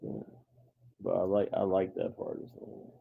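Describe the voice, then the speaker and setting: A hoarse man talking casually to the camera about a church song, repeating himself with enthusiasm. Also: low voice, big pitch swings, moderate pace, narrow, tinny audio.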